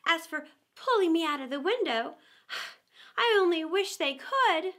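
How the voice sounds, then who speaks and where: A curious young girl thinking aloud, lively and high, turning each question over, as a woman puts her on. Her tone swings up and down and stays bright. It is a storybook reading. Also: measured pace, heavily acted. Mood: musing. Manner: musing, self-questioning. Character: curious young girl Alice, talking to herself.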